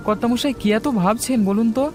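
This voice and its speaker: A man's voice: very high voice, fast, a little mellow, faint music behind. A man voicing an earnest, kindhearted young orphan milk boy in a village cartoon story. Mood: polite. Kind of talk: cartoon voice acting.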